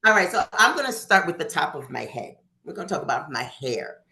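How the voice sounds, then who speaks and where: A woman's voice: low voice, big pitch swings, a little fast, vocal fry, sharp tone, reverberant. A woman in her fifties hosting a casual group chat, chatty and laughing often. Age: 50s. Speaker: host.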